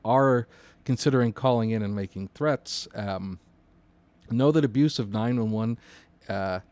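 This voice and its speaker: A man in casual podcast conversation as a co-host and dispatcher, informal and halting as he thinks aloud. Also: on the low side, a little mellow, no room sound.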